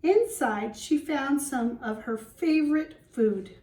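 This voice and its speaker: Slow-paced woman teacher reading a storybook. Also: sits high, warm tone. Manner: simple explanatory teaching, pausing to ask and answer questions. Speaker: schoolteacher reading to class. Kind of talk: children's storybook read-aloud with questions. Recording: roomy echo, distant microphone.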